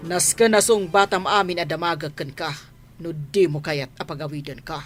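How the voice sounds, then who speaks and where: An actress playing a stern, suspicious older mistress of a wealthy house in an Ilocano radio drama, scolding and questioning. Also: female voice, deep voice, steady tone, moderate pace, faint music behind, close to an everyday voice. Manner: scolding, interrogating.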